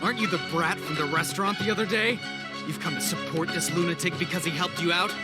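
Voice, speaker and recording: A man's voice: sits high, fairly even intonation, fast, lightly acted. An actor playing an aggressive, menacing male gang thug in a video game, brash and blustering. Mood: taunting, menacing. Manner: taunting, blustering. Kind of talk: dramatic video game villain acting. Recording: loud music over the voice.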